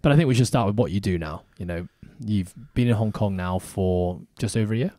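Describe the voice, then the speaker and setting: A man hosting a podcast, talking in a chatty, upbeat way with joking asides. His voice sits a little low and sounds mellow and warm. The sound is dry and close, with no room echo. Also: fairly quick. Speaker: podcast host. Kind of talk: casual podcast interview. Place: podcast studio in Hong Kong.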